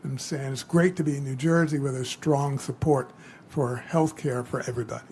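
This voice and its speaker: Older guy in his 70s, dean type, talking deliberately at a roundtable, bit of room noise. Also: mid-range voice, fairly even intonation, a little mellow. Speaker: medical school dean speaking at a meeting. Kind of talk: informal prepared remarks at a public roundtable. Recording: live event microphone with background room noise.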